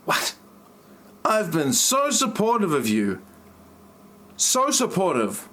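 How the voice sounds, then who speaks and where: A man acting out a stiff, self-important writer who hacks on the side. He speaks slowly and robotically in a fairly high male voice, full of grievance, as in an amateur parody audio play. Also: huge pitch swings, fairly bright tone, exaggerated voice. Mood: pleading. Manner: stilted, robotic delivery. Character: stiff, aggrieved male novelist and self-styled hacker.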